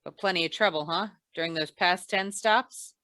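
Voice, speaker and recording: A woman playing a wry, deadpan female insurance investigator in old-time radio noir style. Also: lowish voice, normal speed, no echo. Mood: dry, probing. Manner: deadpan, wisecracking.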